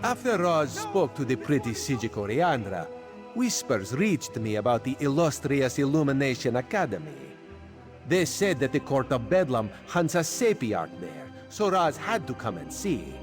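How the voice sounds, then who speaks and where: An actor playing a sly, playful catlike agent in a video game, talking theatrically about himself in the third person. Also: male voice, medium pitch, big pitch swings, moderate pace, music in the background, natural voice. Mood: playful, wry. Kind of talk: video game character acting.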